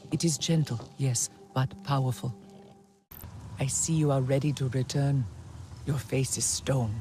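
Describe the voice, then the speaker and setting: A solemn, fatherly alien clan elder in a video game. He talks very slowly at a middle pitch. His manner is formal, wise and reverent. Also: a little mellow, slight background noise.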